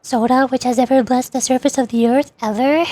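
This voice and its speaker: A woman's voice: high voice, fairly even intonation, brisk, mellow, dry recording. A woman streamer chatting casually and rambling, often giggling as she answers her chat.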